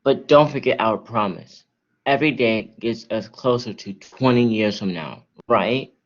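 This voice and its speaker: A man's voice: mid-range voice, unhurried. A man playing a stubborn, teasing schoolboy in a dramatic story reading. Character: stubborn, teasing schoolboy saying goodbye to friends. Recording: dull lo-fi recording.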